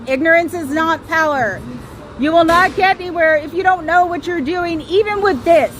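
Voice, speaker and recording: A woman with a high voice hosting a casual livestream outdoors, rambling and sarcastic as she talks straight to her listeners. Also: pushed and bright, slight background noise. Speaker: livestream host.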